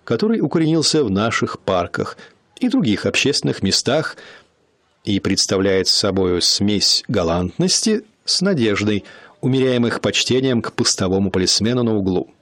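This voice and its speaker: A man narrating a radio play in a measured, expressive literary reading. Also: lowish voice, dry recording.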